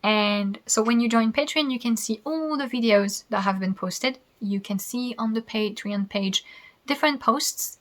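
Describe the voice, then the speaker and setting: A woman in her thirties with a French accent, her first language French, talks to camera in a loose, rambling way and laughs often. Her voice sits a little high for a woman. She sounds like a knitting podcast host chatting at home. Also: a bit bright. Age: 30s. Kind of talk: casual chat to camera.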